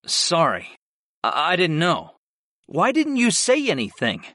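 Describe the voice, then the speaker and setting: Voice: medium pitch, huge pitch swings, unhurried, fairly bright tone, no room sound, voice pushed up. A narrator as a blunt, commanding fantasy hero. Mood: apologetic. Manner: commanding, blunt, matter-of-fact. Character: brusque male fantasy hero, commanding master of demons.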